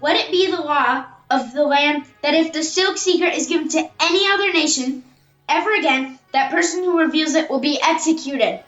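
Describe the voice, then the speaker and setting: A woman's voice: high-pitched, normal speed, fairly bright tone, faint music behind. A woman playing a stately, formal imperial figure in an audio play, speaking in a declamatory way. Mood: stern. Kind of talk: amateur audio-play acting. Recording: roomy, slightly dull home recording.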